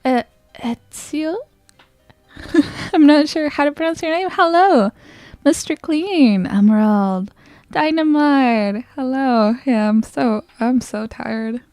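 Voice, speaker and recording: A woman livestreamer chatting casually in a soft, sleepy ASMR style that often slips toward whispering, close to the microphone. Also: sits high, slow, no room sound, faint music behind. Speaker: livestreamer greeting chat and hosting a game stream.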